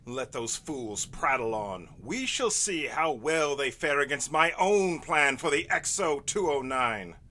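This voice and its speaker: A man's voice: a little high, expressive, unhurried, hardly any pauses, pushed and bright, slight background noise. A man playing a morose, hot-tempered young villain scientist who rants with melodramatic contempt in a comic audio drama. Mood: scornful.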